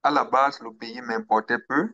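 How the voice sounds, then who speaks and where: A young man from Cameroon, speaking slowly and hesitantly as an interview guest about his own story. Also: medium pitch, steady tone, bright, forceful tone, dry recording, lo-fi sound. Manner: slow, hesitant, with pauses and restarts. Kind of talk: interview, personal account.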